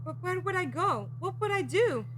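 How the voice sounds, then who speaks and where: A woman's voice: very high voice, expressive, moderate pace, noisy background. A woman playing a headstrong, emotional young daughter in an audio drama, speaking earnestly. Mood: anxious. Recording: music behind the voice.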